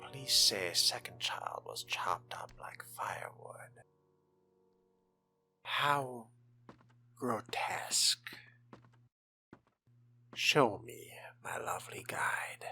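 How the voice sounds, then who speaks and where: A man's voice: medium pitch, expressive, very slow, gravelly, pauses often, bright, forceful tone, whispered, soft background music, full character voice. A man playing a refined, sardonic vampire in a video game roleplay, speaking formally and theatrically in dry, often murmured asides. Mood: hushed, brooding. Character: refined, sardonic male fledgling vampire exploring a haunted hotel.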